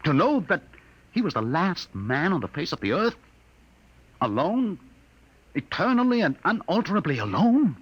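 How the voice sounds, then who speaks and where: An actor playing a compassionate, commanding spaceship captain in a 1950s radio drama, grave and earnest. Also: male voice, high-pitched, big pitch swings, normal speed, long pauses, breathy edge, dull audio, full character voice. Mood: impassioned. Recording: vintage radio recording, faint noise.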